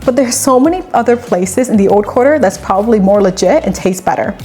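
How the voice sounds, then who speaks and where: Female cooking-channel host, chatty and conversational, mid-pitched voice, faint music underneath. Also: lively intonation, brisk, mellow. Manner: chatty and conversational, with personal asides. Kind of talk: cooking tutorial with personal chat. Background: faint background music.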